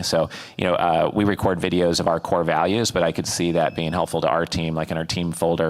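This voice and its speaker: A man hosting a fireside chat on stage, asking long, rambling questions with many fillers. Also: low voice. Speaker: interviewer and host.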